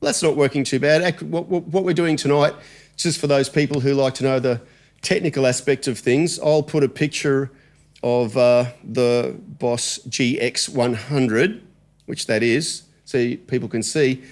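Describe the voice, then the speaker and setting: A man's voice: medium pitch, steady tone, normal speed. A man hosting a casual music interview, often rephrasing his questions as he goes. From Australia. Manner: chatty, rephrases questions mid-sentence. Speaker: podcast host.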